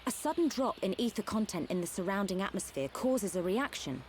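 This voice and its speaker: A composed young medic , played by a woman, speaks at a medium pitch. She sounds capable, in video game style, with background ambience. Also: very animated, a little fast, slight background noise, natural voice. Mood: serious.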